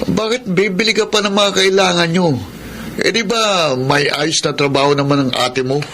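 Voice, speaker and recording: A man's voice: high voice, fairly even intonation, normal speed, fairly bright tone, a little hiss or hum. A man acting a jolly, nosy young gambling buddy in a Filipino radio drama. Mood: curious, puzzled.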